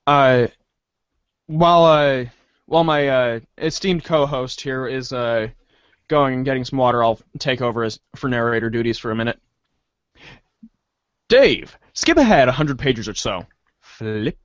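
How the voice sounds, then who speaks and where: A man's voice: mid-range voice, measured pace, long pauses, smooth, no room sound. A man co-hosting and narrating a storybook read-along in a hesitant, self-correcting way, on a dry, slightly dull recording. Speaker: co-host and fill-in narrator.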